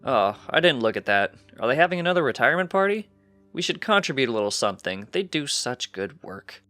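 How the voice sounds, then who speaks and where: A man's voice: mid-range voice, big pitch swings, a little fast, bright, forceful tone, no room sound, faint music behind, pitched above the performer's own voice. A man voicing a distracted, breezy male unicorn scientist, a doting husband in a storybook reading. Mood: cheerful.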